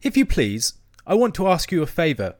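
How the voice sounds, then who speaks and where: A man's voice: a little high, huge pitch swings, moderate pace, darkish tone, no echo, clean studio sound, voice pushed up. Man voicing a polite, eager little storybook mole. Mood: polite, asking. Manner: polite, tentative, agreeable. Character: polite, mild, eager mole, candid and soothing. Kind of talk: children's storybook character voice.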